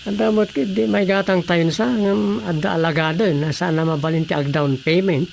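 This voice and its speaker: A man playing a practical, questioning village colleague in an Ilocano Filipino radio drama, matter-of-fact, with a gravelly voice. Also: high voice, fairly even intonation, moderate pace, slight background noise. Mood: matter-of-fact, doubtful. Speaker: voice actor.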